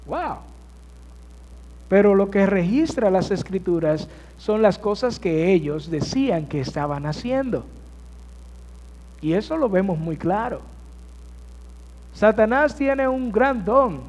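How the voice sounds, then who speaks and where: A man's voice: sits high, animated, takes it slowly, pauses often, a little mellow. A man preaching a sermon as pastor to his congregation, asking them questions and answering them himself. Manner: addresses congregation with rhetorical questions. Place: church sanctuary. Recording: church hall reverb.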